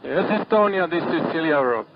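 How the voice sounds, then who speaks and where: Calm man answering on marine radio. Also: fairly high pitch, noisy background. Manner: calm and businesslike, repeats back figures. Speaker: ship radio operator. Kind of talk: radio procedure talk. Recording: muffled archive marine radio.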